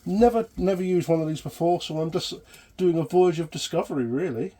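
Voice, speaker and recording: A man's voice: on the high side, brisk, mellow. A man thinking aloud in short, tentative fragments during a casual hands-on demonstration. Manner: tentative, murmuring short fragments while working. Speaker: vintage electronics hobbyist and presenter.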